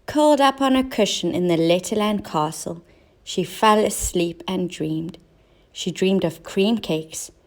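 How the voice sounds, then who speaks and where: A woman narrating a children's storybook as a teacher reading aloud. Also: medium pitch.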